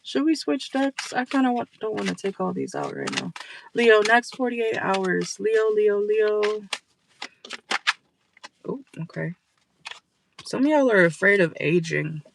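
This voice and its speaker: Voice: medium pitch, big pitch swings, a little slow, vocal fry, long pauses, noisy background. A tarot reader giving a casual reading for viewers from a car, blunt and profane, tagging lines with "okay?", and losing their voice.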